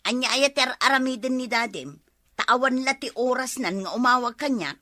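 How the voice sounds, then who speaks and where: A woman's voice: high-pitched, moderate pace, bright, forceful tone. A woman with an Ilocano accent acting an anxious, hard-pressed mother working overseas, in a comedy drama. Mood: anxious, pressing.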